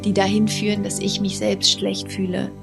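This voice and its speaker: A woman gives motivational coaching in a creaky voice with very flat intonation. New-age music plays clearly behind her. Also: mid-range voice, moderate pace. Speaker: life coach and podcaster.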